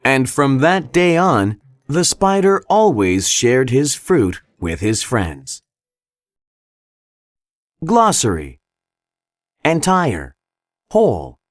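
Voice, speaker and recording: Slow, very lively male storybook narrator. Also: medium pitch, dry recording, clean studio sound. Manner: careful, expressive storytelling. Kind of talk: scripted children's read-along narration.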